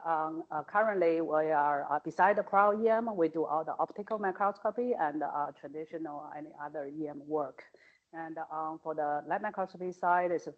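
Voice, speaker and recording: A woman in her forties who directs a microscopy laboratory, introducing it on a panel in a matter-of-fact way with fillers. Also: deep voice. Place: conference hall at a forum. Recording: dull audio, set back from the mic.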